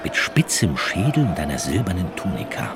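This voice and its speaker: Lowish-voiced man narrating a radio drama. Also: very animated, moderate pace, very noisy. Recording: loud music and crowd behind.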